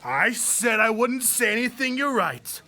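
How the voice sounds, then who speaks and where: A woman's voice: fairly high pitch, very animated, measured pace, pushed and bright, full character voice. A woman playing a fussy, pushy male mayor in a period audio drama, blustering and insistent.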